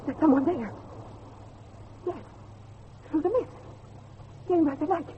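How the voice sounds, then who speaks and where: A very high-pitched, frightened wife in a period horror drama. She pleads in slow, breathless, stammering bursts. The recording is dull with faint noise. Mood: fearful, bewildered. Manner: breathless, stammering, halting delivery. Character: frightened wife of a night watchman, pleading and panicky.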